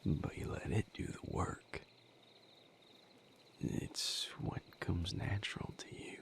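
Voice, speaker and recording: Man playing a gravelly, whispering gentle vampire healer. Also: deep voice, fairly even intonation, heavily acted. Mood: calm, reflective. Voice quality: breathy, whispery, heavy vocal fry. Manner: soft, unhurried, reassuring, with long pauses. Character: gentle, patient male vampire healer mentoring his mate. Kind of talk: intimate audio roleplay, ASMR-like.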